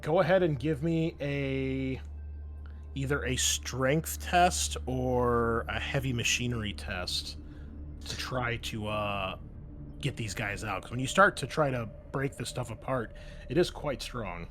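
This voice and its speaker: A man's voice: medium pitch, slow, fairly bright tone, slight background noise. A man running a tabletop game as game master, improvising narration in a casual, conversational way.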